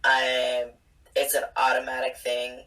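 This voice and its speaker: A mother in her thirties, a guest on a casual fan chat, telling stories in a blunt, animated way. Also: a bass voice, unhurried. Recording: distant mic.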